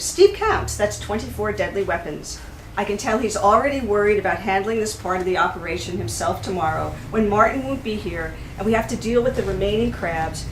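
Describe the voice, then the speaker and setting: Higher-voiced woman reading in an echoey room. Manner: animated storytelling with wry humor and emphatic pauses. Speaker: author reading from their book. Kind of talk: expressive live reading of a travel memoir. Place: indoors in a store at a live event. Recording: roomy, distant mic with faint background noise.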